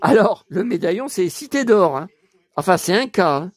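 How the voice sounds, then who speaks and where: A hoarse middle-aged man chatting in a casual vlog, rambling, repeating himself and stammering, with emotional asides. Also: high-pitched, lively intonation, moderate pace, dry recording. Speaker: YouTuber. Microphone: phone.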